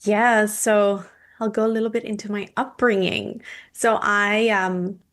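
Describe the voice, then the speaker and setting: A woman in her thirties from Indonesia tells her story as a podcast guest, at a medium pitch. Her speech is measured, and she often pauses on an um. She sounds like an expert who is speaking openly. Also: little pitch movement, fairly bright tone. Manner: measured, with frequent ums. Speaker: guest, clinical nutritionist and naturopath. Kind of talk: podcast interview, personal story.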